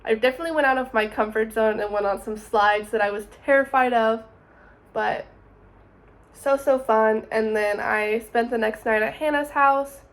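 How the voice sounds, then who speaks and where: Chatty young woman vlogging. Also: a little high. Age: 20s. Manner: chatty, upbeat, says "super" often. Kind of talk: casual vlog voice-over.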